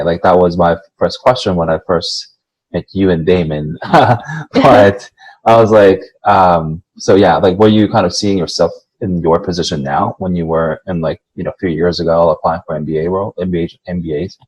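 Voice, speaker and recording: A man's voice: low-pitched, normal speed, darkish tone, breathy edge, rough recording. A man interviewing someone casually, with informal and somewhat hesitant phrasing.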